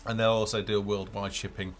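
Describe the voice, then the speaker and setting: A man's voice: lowish voice, little pitch movement, brisk, slight background noise. A man hosting a casual game developer live stream, talking off the cuff in a hesitant, rambling way as he thinks aloud. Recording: music playing behind the voice.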